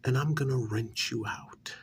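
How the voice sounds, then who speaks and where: A man playing a cold, vengeful vampire lord in an ASMR role-play, speaking in a breathy half-whisper with slow, quietly threatening deliberation. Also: medium pitch, slight background noise. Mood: sadistic menace.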